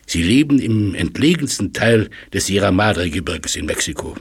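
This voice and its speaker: A man playing a learned, absorbed professor in a German audio drama, musing and explaining with thoughtful pauses. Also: low voice, expressive, breathy edge, full character voice. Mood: lecturing. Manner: musing, lecturing, hesitant pauses. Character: elderly learned male language professor, absorbed and astonished.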